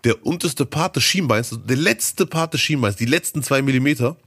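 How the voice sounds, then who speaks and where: Man, medium pitch, slightly hoarse from a cold, rambling podcast storyteller, dry close mic. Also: lively intonation, normal speed, fairly bright tone, no echo. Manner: chatty, storytelling, rambling with fillers. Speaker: podcast co-host. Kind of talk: casual podcast chat.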